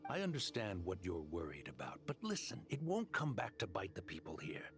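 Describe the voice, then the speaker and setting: A slick, ruthless desert mercenary sells his schemes with swinging, lively intonation. He is cocky, slangy and money-hungry, a video game villain talking over music. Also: deep voice, fairly quick, full character voice. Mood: slick, persuasive. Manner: persuasive, cocky, casual slangy drawl of a salesman. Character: smooth-talking, ruthless desert mercenary arms dealer, greedy man.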